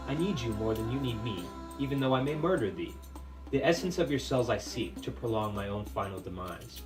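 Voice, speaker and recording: A man narrating a puppet show as dramatic storytelling, in a measured, theatrical, literary manner. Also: mid-range voice, lively intonation, over music. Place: live puppet show performance, room. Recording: distant microphone with faint room noise.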